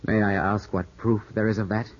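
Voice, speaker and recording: Actor as intense young English heir, low, husky. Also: male voice, flat delivery, a little fast, close to an everyday voice. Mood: suspicious, tense. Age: 20s. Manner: clipped, intense, questioning. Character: grieving, suspicious young English heir of twenty-four. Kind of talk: 1950s radio drama acting. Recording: muffled, scratchy 1950s radio broadcast.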